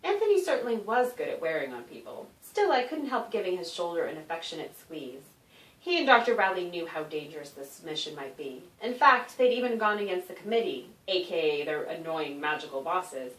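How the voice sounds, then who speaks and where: A woman author reading a children's story aloud in an expressive, animated narration with dramatic emphasis. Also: on the low side, brisk. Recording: roomy echo, distant microphone.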